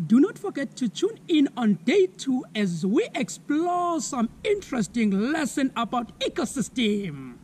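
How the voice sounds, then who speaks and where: A South African man in his thirties teaches children slowly and clearly, his pitch rising and falling in a lively way. He pauses to ask listeners to spell new words. He is recorded outdoors on a camera mic, with faint background noise. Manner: slow, clear, asks viewers to spell words. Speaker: environmental educator. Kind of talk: children's science lesson. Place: outdoors on a lawn.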